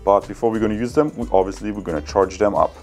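A man in his thirties with a German accent, giving a casual, halting product review and unboxing to viewers. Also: fairly low pitch, darkish tone, soft background music. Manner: hesitant, halting, addresses viewers as guys.

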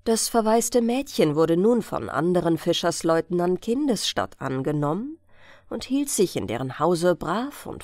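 Female audiobook narrator for bedtime fairy tales, unhurried, expressive pitch, close dry sound. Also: no echo.

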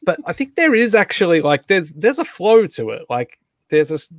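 A man hosting a casual live online group chat, thinking aloud in a halting way. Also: high voice, very animated, no room sound, clean studio sound. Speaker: host of a live online table read. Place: indoor room on a group video call.

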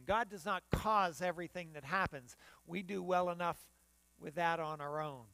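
A man's voice: fairly high pitch, a bit bright, no room sound. An older man, a pastor, preaching a sermon in a church sanctuary and often restarting his phrases. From United States. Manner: preaching while standing and gesturing, with frequent restarts. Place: church sanctuary in front of the altar.

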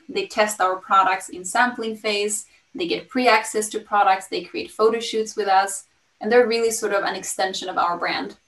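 A woman in her thirties from Sweden with a Swedish accent, pitching her startup on a video call in an upbeat, fluent way with frequent ums. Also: fairly bright tone. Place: home room, indoors. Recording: roomy and slightly distant.

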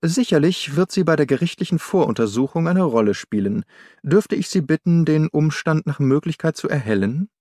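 A man's voice: on the high side, expressive, normal speed, a little mellow, no echo, clean studio sound, voice pushed up. A man voicing a courteous, analytical detective in an audiobook, polite and self-possessed. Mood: probing. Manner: polite, probing.

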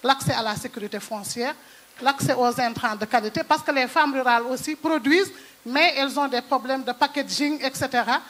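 A woman's voice: high voice, a little fast, a bit bright. A middle-aged woman moderating on a conference stage, speaking earnestly and listing her points on a handheld microphone in a large hall. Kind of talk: conference panel moderation and speech.

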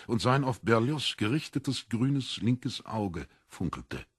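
A man's voice: mid-range voice, animated, slow pace, slightly rough, no echo, studio quality. A man narrating a literary audiobook from a script.

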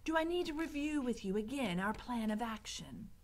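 A sinister, suave storybook rat played by a woman reader speaks in a hushed, breathy whisper. He is mock-polite and condescending, choosing formal words with sly care. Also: on the high side, very animated, normal speed, voice pushed up. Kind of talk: storybook character voice.

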